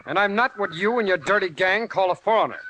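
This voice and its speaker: An actor playing a bitter, defiant war veteran turned farmer in 1940s radio drama style, blunt, combative and folksy. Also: male voice, high voice, fast, pushed and bright. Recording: noisy old-time radio recording.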